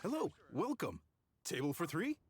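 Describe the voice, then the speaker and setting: A man's voice: fairly high pitch, huge pitch swings, moderate pace, noisy background. A man playing a proud, jovial, middle-aged restaurant chef in a video game, speaking with salesmanlike emphasis. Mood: welcoming. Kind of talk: video game cutscene dialogue.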